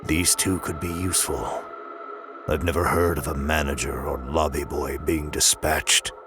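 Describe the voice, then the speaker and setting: A man's voice: a bass voice, flat delivery, measured pace, over music. A man playing a sinister, scheming villain in a horror audio drama, speaking formally. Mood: calculating.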